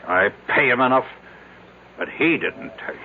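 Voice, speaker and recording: A man's voice: mid-range voice, animated, moderate pace, a little hiss or hum, close to an everyday voice. An actor playing a paranoid, domineering businessman in an old-time radio drama, agitated, repetitive and faltering. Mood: suspicious, tense. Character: paranoid, domineering businessman, frantic and losing his strength. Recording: tinny old radio broadcast, music behind.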